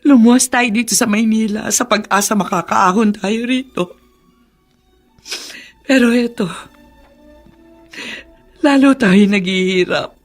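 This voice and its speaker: A woman's voice: a little high, a little slow, pauses often. An actress playing a weary, worried mother with a Filipino accent and plaintive delivery in a radio drama. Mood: distressed, pleading. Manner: plaintive, emotional. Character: weary, worried, protective poor mother of grown daughter. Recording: music behind the voice.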